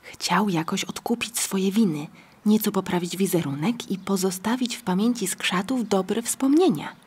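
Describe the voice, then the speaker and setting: A woman narrates a children's story from a script. Her voice is strongly husky and breathy, at a middle pitch. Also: moderate pace, darkish tone.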